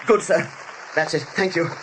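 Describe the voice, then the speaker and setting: An actor in a radio play playing a nervous, apologetic rabbit sentry with a deferential, stammering manner. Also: male voice, on the high side, moderate pace, close to an everyday voice, poor audio. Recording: faint background noise in radio play.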